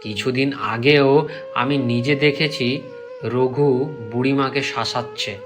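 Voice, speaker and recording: An earnest younger village man played by a man talks in a mid-pitched voice over faint music. He is a suspicious, respectful cartoon figure. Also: fairly even intonation, measured pace, close to an everyday voice. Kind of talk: Bengali cartoon voice acting.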